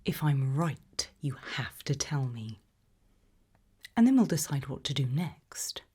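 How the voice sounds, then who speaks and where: Creepy british lady researcher, played by an asmr woman, whispery and mellow, super slow, softly menacing questions. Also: extremely low pitch, very animated, full character voice. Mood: quietly menacing. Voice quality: breathy whisper. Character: eerie, probing female institute researcher of human behaviour.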